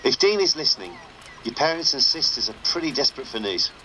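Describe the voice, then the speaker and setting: An underground radio presenter for a game. His voice is bright and lively, with dry, sardonic announcing. There is faint noise behind him. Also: mid-range voice, fast, rough recording. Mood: solemn. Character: wry underground radio show host, a man. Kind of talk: broadcast radio presenting, scripted game dialogue.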